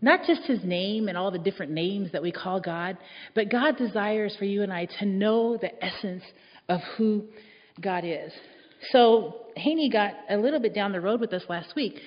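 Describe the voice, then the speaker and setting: A woman telling a reflective personal story as part of a church talk, speaking slowly and warmly with soft laughs. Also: medium pitch. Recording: dull echoey hall sound.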